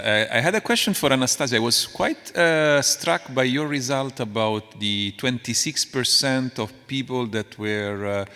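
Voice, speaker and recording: A man in the audience asking a panelist a question, halting with many fillers. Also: on the low side, a bit bright. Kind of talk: conference question from the floor. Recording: long reverb of a large conference hall.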